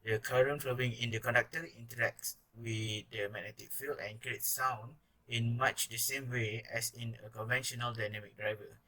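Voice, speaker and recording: A young man with a Malaysian accent delivers a student presentation from a script. His voice is bright and forceful, at a middling pitch. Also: steady tone, moderate pace, low-quality recording. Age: young adult.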